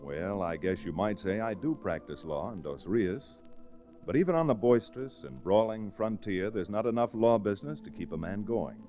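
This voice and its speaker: Guy acting an easygoing cowboy lawyer, lowish mellow voice that moves around a lot, old radio western. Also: animated, normal speed, faint music behind, natural voice. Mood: wry, folksy. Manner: folksy, wry, self-deprecating. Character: easygoing frontier cowtown lawyer and cattleman hero. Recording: restored old radio recording.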